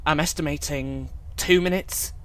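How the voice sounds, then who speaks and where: A man's voice: on the high side, steady tone, unhurried, close to an everyday voice. A man playing a nervous, officious techie in an audio drama.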